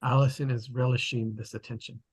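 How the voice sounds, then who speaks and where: A woman narrates stage directions in a plain scripted read. Her voice is extremely deep for a woman, with a husky texture. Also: level tone, fairly quick, a little mellow.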